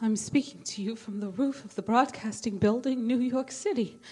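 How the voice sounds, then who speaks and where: Radio drama newswoman, played by an actress: high-pitched, grave and frightened, reporting an invasion in halting, dramatic bursts. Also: warm tone, soft background music, lightly acted. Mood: grave, resigned. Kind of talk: radio play news bulletin acting.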